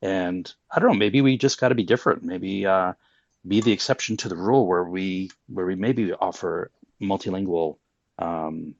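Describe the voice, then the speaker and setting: A man's voice: sits low, moderate pace, creaky, no echo. A man talking informally and a little hesitantly as host of a casual online group discussion. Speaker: host of a crypto audio discussion. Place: live online audio room, close and dry. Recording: slightly dull online audio, dry.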